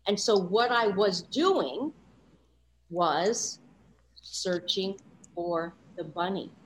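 A woman speaking as a guest in an interview, in an earnest, reflective way, often pausing on "you know" asides. Her pitch sits in a middle range. A faint hiss runs under her voice. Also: poor audio. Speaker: voice-over coach and guest. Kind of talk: candid interview talk.

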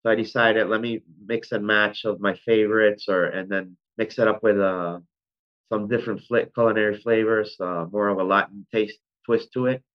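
A man's voice: on the low side, fairly even intonation, moderate pace. A man in his forties from Venezuela, a restaurant founder telling his story in an interview, rambling with many ums and self-corrections. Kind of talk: conversational interview. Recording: dull lo-fi sound.

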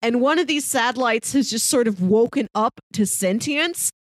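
A woman's voice: on the high side, moderate pace, dry recording. Enthusiastic woman podcast co-host, dry close sound. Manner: enthusiastic, earnest, emphatic listing. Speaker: podcast co-host reviewing shows. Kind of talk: casual conversational recommendation.

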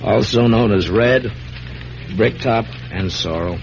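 An actor playing a stern, dryly sardonic frontier marshal in an old radio western. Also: male voice, on the low side, takes it slowly, vocal fry, slight background noise. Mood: businesslike. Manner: dry, sarcastic, authoritative. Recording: muffled old radio recording, music behind.

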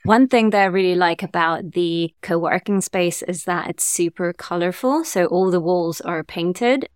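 A woman who grew up in Germany, a guest in a casual interview, relaxed and laughing easily as she talks. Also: lowish voice, clear, resonant tone, no echo, clean studio sound. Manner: relaxed, laughs often, uses fillers like "like". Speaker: agency cofounder, guest.

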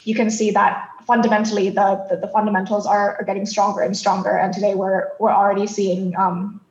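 A woman from Thailand speaking as a podcast guest, explaining her thoughts in a reflective, halting, conversational way. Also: mid-range voice, flat delivery, a little mellow, echoey room, highs cut off. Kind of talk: conversational interview answers.

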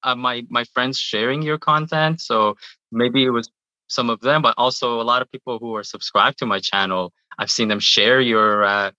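A man's voice: mid-range voice, steady tone, moderate pace, bright, forceful tone, no echo, muffled recording. A man in his thirties, born in Iran, chatting in an interview and often repeating words as he talks. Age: 30s. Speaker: guest, YouTuber. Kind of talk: casual interview answers.

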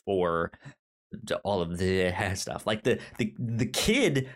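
A man talks casually as a film podcast co-host in an informal, rambling way. His speech is halting, with a stutter that repeats sounds and syllables before words come out. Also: low voice, expressive. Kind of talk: casual movie discussion.

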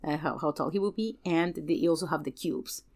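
A woman in a casual film discussion, speaking earnestly and emphatically and pausing to search for words. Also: low-pitched, darkish tone. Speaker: podcast guest.

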